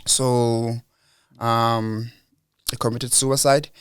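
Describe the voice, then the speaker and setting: A man's voice: medium pitch, level tone, drawn-out words, a bit bright, smooth, no room sound. A young South African man as a podcast guest, talking earnestly and reflectively, repeating words as he goes. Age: young adult. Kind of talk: casual interview talk.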